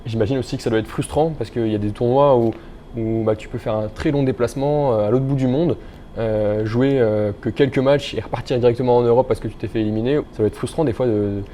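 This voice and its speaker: A young man interviews a guest in a casual way. He talks very quickly in a mellow, dark-toned voice, with faint background noise behind him. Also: mid-range voice. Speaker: interviewer, host.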